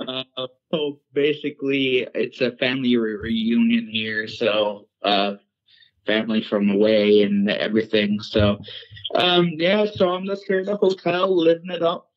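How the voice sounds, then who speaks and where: A man giving casual interview answers as a guest, halting and plain-spoken. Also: medium pitch, muffled recording. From born in Norway, raised in Newfoundland, Canada. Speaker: guest, paranormal investigator and medium.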